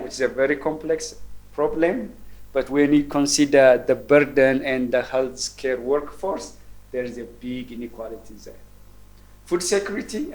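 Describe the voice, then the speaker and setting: A man in his forties from Ethiopia, giving a conference presentation in a measured, thoughtful way with frequent ums and repeated words. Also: medium pitch, slight background noise, rough recording. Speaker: keynote speaker. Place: university roundtable event room.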